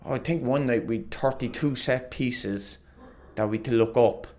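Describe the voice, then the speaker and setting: A man's voice: mid-range voice, unhurried, a little mellow. A young man, a former footballer, telling rambling stories in a casual interview, peppered with "like" and laughs. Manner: rambling, lots of "like", laughs often.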